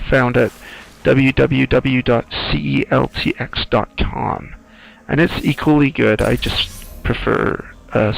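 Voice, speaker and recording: A man giving casual, rambling playthrough commentary in an informal, halting, musing way. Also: mid-range voice, creaky, low-quality recording. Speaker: screenwriter doing game playthrough commentary.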